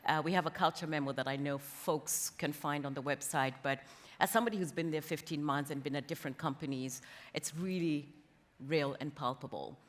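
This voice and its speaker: A woman's voice: low-pitched, a little fast. A woman from Kenya speaking conversationally as an interviewee on a panel. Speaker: interviewee, company talent executive. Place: conference stage in a large hall. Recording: reverberant hall, stage event.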